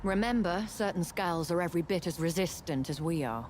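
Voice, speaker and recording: A woman's voice: lowish voice, animated, fairly quick, noisy background, natural voice. An actress playing a mysterious, knowing vampire lady in a video game, cool and condescending. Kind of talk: video game cutscene acting.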